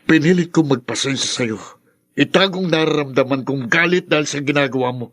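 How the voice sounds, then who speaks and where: Earnest, higher-voiced lovelorn young man. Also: a little fast, fairly bright tone. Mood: anguished anger. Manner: pleading, intense, melodramatic. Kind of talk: Filipino radio drama acting. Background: music in the background.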